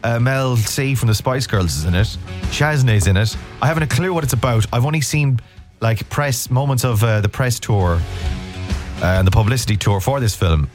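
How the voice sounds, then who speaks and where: Bunged-up radio host. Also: male voice, mid-range voice, normal speed, faint music behind. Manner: jokey, rambling, bunged-up from a cold. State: blocked nose from a mild cold. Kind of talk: casual radio chat.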